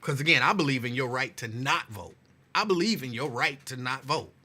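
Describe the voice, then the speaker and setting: A man's voice: mid-range voice, lively intonation, fairly quick, bright, forceful tone. A male talk show host losing his voice, chatting with listeners and repeating phrases. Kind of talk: casual talk show chat.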